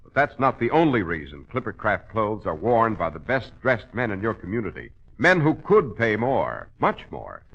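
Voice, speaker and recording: A man delivering an emphatic, polished 1940s radio advertising pitch as a commercial announcer. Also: wildly swooping pitch, normal speed, hardly any pauses, slightly rough. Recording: dull vintage radio recording.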